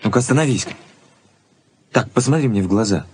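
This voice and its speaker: An actor playing a principled, stubborn young fiancé in a 1980s radio play, speaking deliberately with theatrical pauses. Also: male voice, lowish voice, expressive, a little mellow, husky. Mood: suspicious. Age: young adult.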